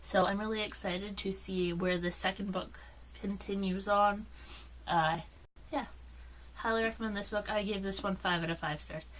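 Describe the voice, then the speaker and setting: A young woman rambles through a casual book chat, full of fillers and "like," her voice slightly worn from a cold. She sits away from the mic, so she sounds a touch distant, with steady noise behind her. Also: mid-range voice, moderate pace. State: losing her voice, getting sick. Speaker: book vlogger. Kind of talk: casual monthly reading wrap-up.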